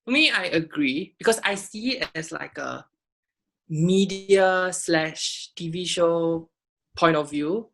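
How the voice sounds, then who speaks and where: Soft-spoken young Singaporean woman. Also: fairly low pitch, slow pace. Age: 20s. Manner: soft, trailing off, many fillers. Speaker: panel guest. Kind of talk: casual panel discussion.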